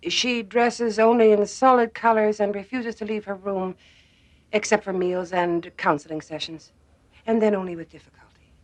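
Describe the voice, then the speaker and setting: An actress playing an experienced, composed female psychiatric nurse in a television drama, speaking in a measured, matter-of-fact way. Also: medium pitch, steady tone, natural voice. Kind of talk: naturalistic television drama dialogue.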